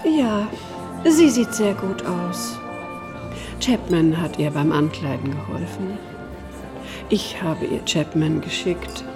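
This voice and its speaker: A woman's voice: lowish voice, animated, takes it slowly, pauses often, over music. An actress playing a languid, mildly vain genteel lady in a radio play, placid and complacent. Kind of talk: German radio play acting.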